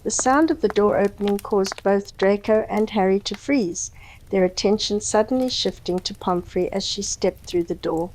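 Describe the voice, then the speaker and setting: A woman's voice: mid-range voice, moderate pace, darkish tone, a little hiss or hum, poor audio. A woman giving scripted, dramatic story narration.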